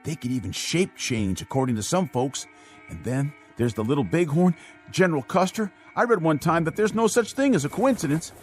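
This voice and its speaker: A man's voice: fairly high pitch, animated, a little fast, soft background music, lightly acted. An actor playing a nervous young army private who rambles about his fears. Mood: anxious. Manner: anxious, rambling.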